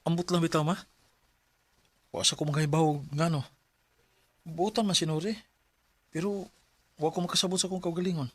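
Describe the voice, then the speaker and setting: An actor playing a hesitant, evasive young man in a radio drama, with a Filipino Cebuano accent and halting delivery. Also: a little high, steady tone, breathy edge, dry recording. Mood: flustered. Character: hesitant young man, evasive boyfriend and son named Jay.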